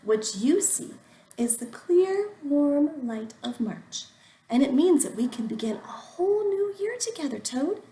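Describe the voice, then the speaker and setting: Lady doing an excitable cheery frog guy from a kids book, high and swoopy, roomy sound. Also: unhurried, full character voice. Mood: eager coaxing. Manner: calls out brightly, coaxing. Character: eager, cheerful young male frog friend, excitable and pleading. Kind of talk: children's storybook read-aloud.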